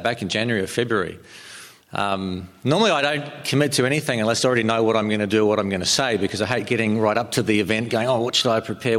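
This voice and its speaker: A man's voice: lowish voice, fairly bright tone. Kiwi pastor guy preaching, lots of ums and false starts, voice ringing round a big hall. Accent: New Zealand. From New Zealand. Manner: hesitant, many ums and restarts. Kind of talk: sermon. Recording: long hall reverb.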